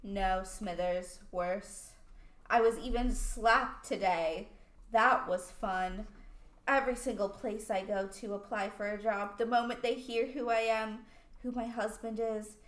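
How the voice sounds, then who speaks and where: A woman playing a world-weary, genteel middle-aged mother in an amateur radio play, with a deliberate delivery. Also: mid-range voice, fairly even intonation, natural voice. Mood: weary, self-blaming. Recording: roomy home recording.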